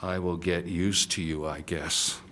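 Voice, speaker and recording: A man reading free verse aloud in a measured, deliberate manner. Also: sits low, fairly even intonation, fairly bright tone, slight background noise. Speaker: poet reading at a reunion event. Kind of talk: poetry reading.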